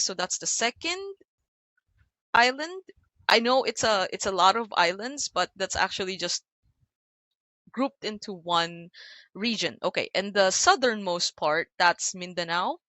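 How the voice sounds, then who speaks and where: A woman's voice: mid-range voice, animated, a little slow, pushed and bright, no echo. A young adult woman from the Philippines teaching an online ESL class, explaining and guiding her students over a video call. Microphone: computer mic on video call.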